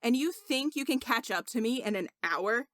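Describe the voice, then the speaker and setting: A woman's voice: a little high, expressive, normal speed, a bit bright, no echo. A woman voicing a haughty, scoffing royal male sorcerer with a pompous, scornful way of talking in a story reading.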